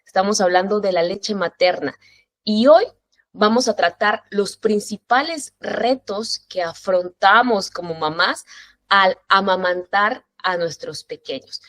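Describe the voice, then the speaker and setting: A woman's voice: medium pitch, a little slow, fairly bright tone, no echo. A woman in her thirties hosts a parenting interview show, welcoming families and her guest. She speaks in a mid-range voice that sounds dry and close. Her manner is informal and welcoming. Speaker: host. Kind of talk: friendly interview hosting.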